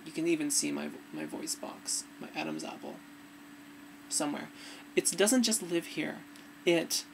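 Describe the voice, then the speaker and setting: A young woman with a fairly deep voice giving earnest, relaxed advice to her webcam in a dim room. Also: gravelly, a little hiss or hum, low-quality recording. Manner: earnest, relaxed, demonstrating as she talks. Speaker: vlogger. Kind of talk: casual advice vlog.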